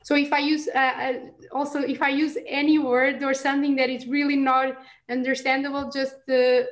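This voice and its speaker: A woman's voice: high voice, little pitch movement, moderate pace. A young adult woman, a researcher, explaining immunology in casual chat, hesitant with many fillers and restarts. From Portugal. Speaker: PhD researcher guest.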